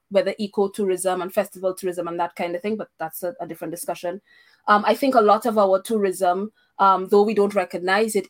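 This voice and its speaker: A woman from Trinidad and Tobago hosting a discussion, hesitant, with many pauses and restarts. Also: mid-range voice. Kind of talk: casual discussion.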